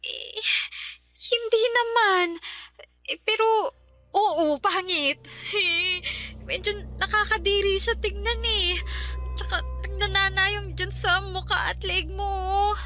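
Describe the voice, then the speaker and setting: A woman's voice: very high voice, steady tone, takes it slowly, pushed and bright, exaggerated voice. An actress in a radio drama playing a blunt, worried young woman who hesitates with fillers before saying hard truths. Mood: uneasy. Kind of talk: Tagalog radio drama acting.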